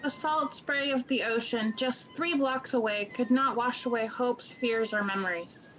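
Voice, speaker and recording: A woman's voice: fairly high pitch, a little slow, a bit bright, slight background noise, low-quality recording. A woman acting as the narrator of a radio play, reading scripted scene-setting narration. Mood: somber.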